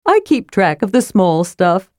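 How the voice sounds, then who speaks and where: A woman's voice: medium pitch, sing-song, unhurried, darkish tone, no echo, studio quality. A woman voicing a small, cheerful, earnest male adventurer in a fantasy audiobook, chirpy and guileless. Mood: cheerful, eager.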